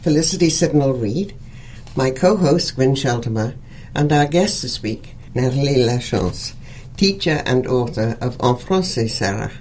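A woman hosting a radio-style talk show, asking a guest questions in a measured, encouraging way. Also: extremely low pitch, lively intonation, a little mellow, slight background noise. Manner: measured, encouraging, frequent short acknowledgements. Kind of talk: interviewing a guest.

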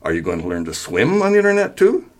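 A man's voice: mid-range voice, huge pitch swings, fast. A man reading a story aloud, voicing an exasperated but reasonable grown-up son with an emphatic, sarcastic delivery. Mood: reasoning, sardonic. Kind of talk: acted dialogue in a story reading.

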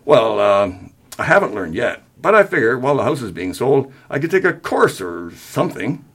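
A man's voice: mid-range voice, big pitch swings, normal speed, fairly bright tone, husky, full character voice. A man reading a story aloud, voicing a stubborn older father who is easily rattled and speaks in an emphatic bluster. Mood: uncertain, hedging. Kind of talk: acted dialogue in a story reading.

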